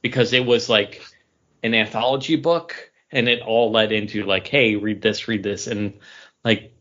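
A man's voice: on the low side, fairly even intonation, a little slow, a bit bright. A man co-hosting a comics podcast in casual chat, trailing off and restarting when he reaches for names. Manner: chatty, trails off and restarts while recalling names. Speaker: podcast co-host. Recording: dull lo-fi podcast audio.

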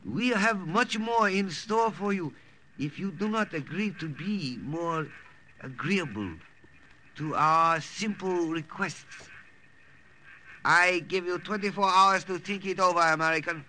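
An actor playing a menacing, mockingly polite Japanese army colonel with formal, clipped English in an old radio drama. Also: male voice, high voice, bright, forceful tone, rough recording. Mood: threatening. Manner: formal, clipped, deliberate, drops articles. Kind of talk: 1950s radio drama acting. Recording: old radio recording, faint noise.

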